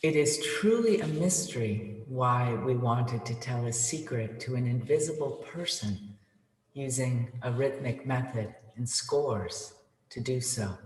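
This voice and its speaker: A man giving a measured reading of literary text. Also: mid-range voice, darkish tone. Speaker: reader. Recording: echoey hall with music.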